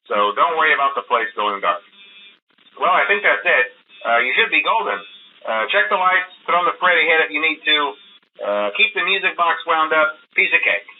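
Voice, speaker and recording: A man's voice: medium pitch, lightly acted. An actor playing a chatty, nervously reassuring male employee in a video game, leaving casual, hesitant, rambling phone briefings for a new guard. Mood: breezy, reassuring. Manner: hesitant, rambling, breezily downplaying trouble. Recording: tinny, narrow phone line.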